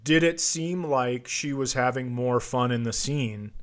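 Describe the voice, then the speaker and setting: A man hosting a casual comedy podcast, chatting in a teasing, deadpan way. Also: medium pitch, a little slow.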